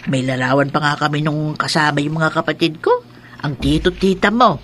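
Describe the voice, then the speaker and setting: An actress playing a feisty, doting elderly grandmother in a radio drama, teasing and bossy in a lively way. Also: deep voice, brisk, slight background noise. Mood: reminiscing. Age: older. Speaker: grandmother character.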